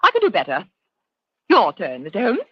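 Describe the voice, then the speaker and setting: An actress playing a brisk, self-assured, scheming lady villain with a haughty, theatrical manner in a 1940s radio drama. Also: mid-range voice, wildly swooping pitch, slightly rough, no room sound. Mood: brisk confidence. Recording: muffled old radio broadcast.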